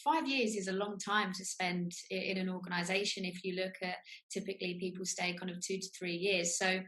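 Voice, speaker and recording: A woman's voice: on the low side, fairly even intonation, brisk, a bit bright, poor audio. A woman hosting an interview, asking questions in an encouraging, appreciative way. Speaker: interviewer, host. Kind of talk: friendly structured interview questions.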